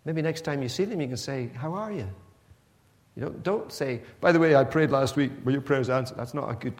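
A man's voice: medium pitch, fairly quick, in a large hall. A man born in Pakistan to missionary parents, preaching in an earnest, conversational way with frequent restarts. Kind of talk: sermon.

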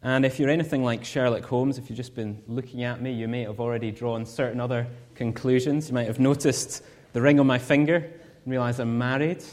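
A married Scottish man giving a sermon as a guest preacher, earnest and measured, with rhetorical questions. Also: mid-range voice, steady tone, darkish tone. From Scotland.

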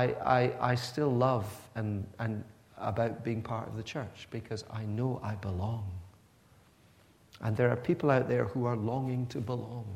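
A man's voice: mid-range voice, creaky, darkish tone, long reverb tail. A man born in Pakistan to missionary parents, preaching in an earnest, conversational way with frequent restarts. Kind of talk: sermon.

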